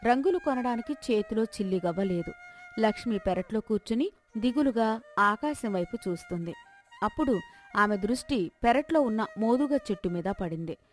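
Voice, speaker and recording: A woman's voice: fairly high pitch, animated, moderate pace. A woman narrating a scripted folk tale as a storyteller. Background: background music.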